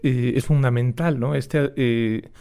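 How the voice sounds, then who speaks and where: A man with a Mexican accent interviewing a guest on the radio, speaking in a measured, thoughtful way with hesitant fillers. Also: mid-range voice, steady tone, creaky, warm tone, clear, resonant tone.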